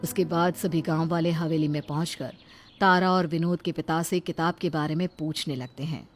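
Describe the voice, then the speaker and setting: A woman's voice: low-pitched, steady tone, normal speed, soft background music. A woman reading scripted narration for a cartoon horror tale.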